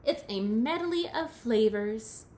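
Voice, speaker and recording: A woman's voice: a little high, lively intonation, slow pace, mellow, reverberant, a little hiss or hum. A woman voicing a friendly, patient, earnest raccoon in a children's storybook. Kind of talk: storybook read-aloud for preschoolers.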